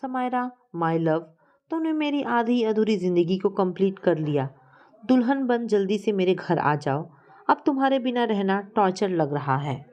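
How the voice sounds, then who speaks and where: A woman voicing an earnest, sensible young man in love in a romantic family story, speaking in a measured manner. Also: mid-range voice, expressive, darkish tone, lo-fi sound, lightly acted. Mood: romantic longing.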